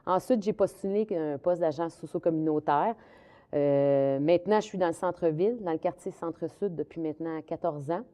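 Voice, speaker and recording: A woman's voice: low voice, moderate pace, dark tone. A middle-aged woman with a Québécois French accent, a community police officer explaining her career steadily, with many fillers and restarts. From Quebec, Canada. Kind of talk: interview answers.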